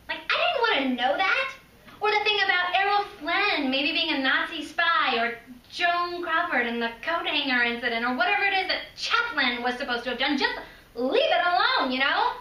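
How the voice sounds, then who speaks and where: A woman's voice: very high-pitched, lively intonation, normal speed, bright, forceful tone, exaggerated voice. A woman performing a monologue as an indignant, pleading young film fan, emphatic and casual, in a roomy recording. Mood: indignant, exasperated. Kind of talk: theatrical audition monologue. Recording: roomy, distant mic, faint noise.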